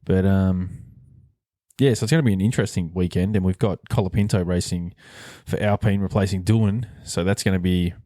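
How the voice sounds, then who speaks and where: A man's voice: low voice, steady tone, brisk, dark tone, no echo, studio quality. A man hosting a podcast with casual, rambling sports chat and bantering humour. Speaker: podcast host.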